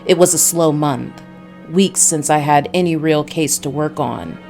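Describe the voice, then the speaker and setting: A woman's voice: deep voice, a little slow, gravelly, darkish tone, faint music behind. A woman voicing a world-weary noir detective who narrates his story in a brooding, scripted style from a visual novel.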